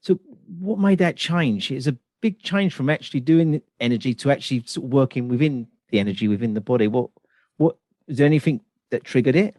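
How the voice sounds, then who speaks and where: A man hosting a podcast interview over a video call from home. He is friendly and chatty, with loose phrasing, and his voice sits at an ordinary middle pitch. The sound is dry and close, with no room echo. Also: brisk, darkish tone. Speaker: podcast host. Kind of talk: casual interview chat. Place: home in London.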